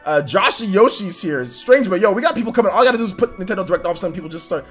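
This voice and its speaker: A man hosting a casual livestream, rattling off upbeat shout-outs to viewers, with a slightly stuffy nose. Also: high-pitched, lively intonation, soft background music. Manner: upbeat, rapid shout-outs, laughs often. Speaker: livestream host. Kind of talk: casual livestream chat, reading out viewer names.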